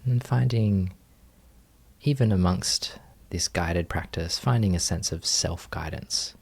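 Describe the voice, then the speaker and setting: A man with a low-pitched voice guides a meditation. He speaks very slowly and gently, leaving pauses between short phrases. The delivery is that of a teacher giving soft, unhurried instructions. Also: gravelly, husky.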